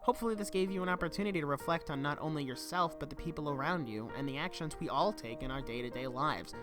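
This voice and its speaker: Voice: mid-range voice, quick pace. Young person reading a video essay script, earnest and reflective, music behind. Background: background music.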